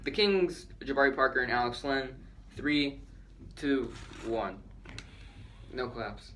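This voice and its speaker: A man's voice: mid-range voice, lively intonation, slow pace, sharp tone, a little hiss or hum. A man co-hosting a casual sports talk show, chatty and joking as he reads out trades and counts down. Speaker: podcast co-host.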